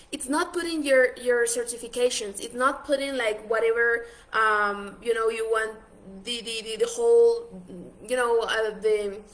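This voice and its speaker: Ecuadorian woman, coaching a business class, highish voice, earnest, lots of "you know" restarts. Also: a bit bright, poor audio. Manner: earnest, many restarts and fillers. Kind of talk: online masterclass talk.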